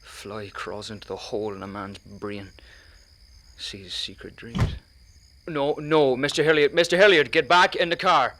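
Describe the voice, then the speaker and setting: An actor playing an earnest, anxious, haunted immigrant cab driver in a radio play, speaking simple broken English. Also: male voice, mid-range voice, unhurried, bright, forceful tone, a little hiss or hum. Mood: urgent.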